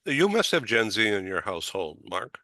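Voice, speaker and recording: An older man hosting a casual panel chat, halting, putting questions to the guests. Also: lowish voice, big pitch swings, pushed and bright, no echo. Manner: slow, halting, questions with rising lift. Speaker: talk show host.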